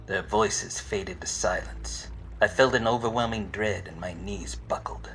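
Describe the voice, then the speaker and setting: A hard-boiled private eye played by a male actor in a 1940s radio noir spoof, speaking low and with a bright, forceful edge. He is wordy, deadpan and a bit dense, with music playing along. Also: unhurried, low-quality recording. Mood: brooding deadpan. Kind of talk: 1940s detective radio play parody.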